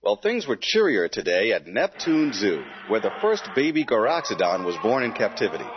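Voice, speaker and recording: Animated male space news anchor. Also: fairly low pitch, normal speed, a little hiss or hum. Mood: cheery. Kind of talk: mock TV news reading. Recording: slightly dull, music behind.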